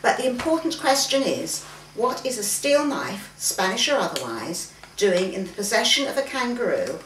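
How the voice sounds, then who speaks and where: A curious, musing gentleman father from a storybook, voiced by a woman reader. The phrasing swings with interest and questions, heard from a little way off in a roomy space. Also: a little high, big pitch swings, normal speed. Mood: intrigued, emphatic. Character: thoughtful, curious father, a gentleman amazed by animals. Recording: roomy, distant mic, faint background noise.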